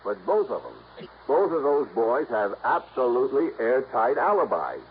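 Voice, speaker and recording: An American man playing an earnest, businesslike insurance man in a 1950s radio drama, brisk and matter-of-fact with a folksy touch. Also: mid-range voice, wildly swooping pitch. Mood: grave, explanatory. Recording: muffled, faintly noisy old radio recording.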